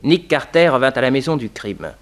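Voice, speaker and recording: An actor as a composed storyteller, giving scripted narration in a 1960s French radio detective drama. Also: male voice, medium pitch, big pitch swings, moderate pace. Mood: narrating.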